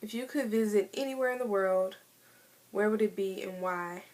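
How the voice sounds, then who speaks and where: A woman of twenty in a casual vlog, chatting in a relaxed way with many fillers as she answers tag questions. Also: mid-range voice, normal speed. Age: 20s. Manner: chatty, laid-back, lots of fillers. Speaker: vlogger. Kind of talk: casual tag video chat.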